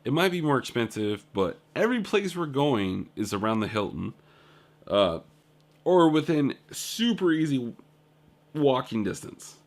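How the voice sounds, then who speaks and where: A man's voice: mid-range voice, sing-song, unhurried. A young man telling a rambling, casual story about his trip in a video diary, full of fillers and asides. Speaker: indie game developer.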